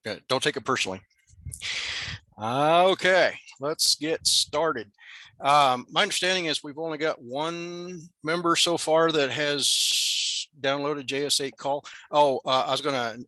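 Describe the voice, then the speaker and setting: An older American man presents informally to a radio club over a video call. His voice is bright and sits at an average pitch, with a dry, close sound. He speaks in an easygoing, self-deprecating way. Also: dry recording. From Nebraska, USA.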